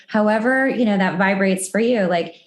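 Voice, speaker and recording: A woman's voice: mid-range voice, moderate pace. Woman, podcast host, mid-range voice, informal chatty delivery, casual conversation, roomy echo. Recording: roomy, echoey sound.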